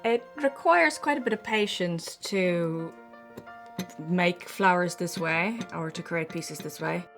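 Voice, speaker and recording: Woman, low calm voice. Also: takes it slowly, soft background music. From South Africa. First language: Afrikaans. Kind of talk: documentary interview.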